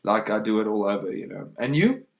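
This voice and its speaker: A young man from South Africa who speaks Afrikaans first, talking in English with an Afrikaans accent and hosting a casual livestream chat, halting and repeating his words with lots of um. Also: on the low side, expressive, vocal fry. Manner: hesitant, repeats words, says um often. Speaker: livestream host. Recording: roomy echo.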